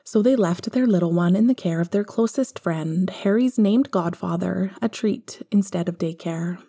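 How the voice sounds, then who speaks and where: Woman reading a story out loud, soft dark tone, wry and conversational. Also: lowish voice, normal speed, breathy edge. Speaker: podfic reader. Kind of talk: expressive scripted fiction narration.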